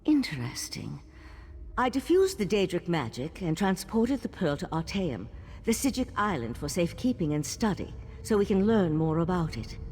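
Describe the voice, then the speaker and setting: A woman voicing a composed, inquisitive mage of an ancient monastic order in a fantasy game, measured, formal and articulate. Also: lowish voice, very animated, darkish tone. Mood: earnest, explanatory. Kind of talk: scripted fantasy game dialogue. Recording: long reverb, faint background noise.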